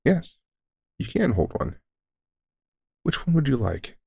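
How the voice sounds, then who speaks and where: A man playing a deranged centuries-old elf shopkeeper who is courteous, formal and unsettlingly calm, in an audio roleplay. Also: mid-range voice, takes it slowly, creaky, husky, dry recording, studio quality, exaggerated voice. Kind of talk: scripted audio roleplay addressing the listener.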